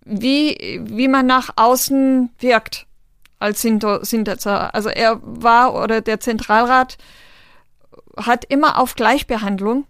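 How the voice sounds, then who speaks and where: A woman guest in an interview, talking in an earnest, measured way, often pausing with fillers while she thinks. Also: on the high side, fairly even intonation, clean tone, no room sound, clean studio sound. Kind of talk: thoughtful interview conversation.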